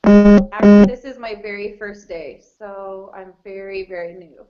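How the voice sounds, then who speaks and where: A woman's voice: medium pitch, little pitch movement, a little slow, highs cut off, faint music behind. A woman from Colorado, briefly introducing herself on a video call. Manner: brief, casual self-introduction. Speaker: new distributor on a call.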